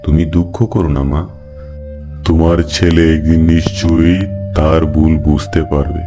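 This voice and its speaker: A man voicing a god disguised as a wise Brahmin, preaching solemnly and gently in a cartoon folk tale. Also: very deep voice, little pitch movement, over music. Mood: consoling. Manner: measured, sermonizing, gentle address. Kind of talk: Bengali moral folk-tale cartoon dub.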